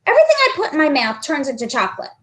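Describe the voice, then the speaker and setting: A woman plays an anxious young schoolboy in a storybook. The voice climbs high and swings widely as he earnestly pleads to be believed, protesting with great emphasis. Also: wildly swooping pitch, very fast, a bit bright, exaggerated voice. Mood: protesting, self-pitying.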